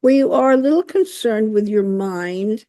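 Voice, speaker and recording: An older woman, a dancer, speaking as a guest on a podcast in a warm, effusive, unhurried way. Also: medium pitch, animated, dry recording, studio quality. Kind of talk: conversational interview.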